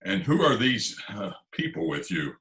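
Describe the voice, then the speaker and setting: A man's voice: on the low side, sing-song, normal speed, fairly bright tone. A man playing a flustered, pompous middle-aged school principal in old-time radio comedy style, blustering and indignant. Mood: dazed, flustered. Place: indoors, recorded remotely over video call. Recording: roomy remote video-call recording.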